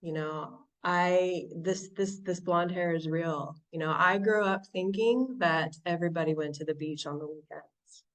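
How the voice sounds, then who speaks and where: A woman from Southern California with an American accent, sharing her story reflectively at a recovery meeting, often pausing and restarting. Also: on the low side, recorded from a distance, rough recording. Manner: reflective, halting, many restarts and fillers. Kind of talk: personal recovery share. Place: online meeting from home.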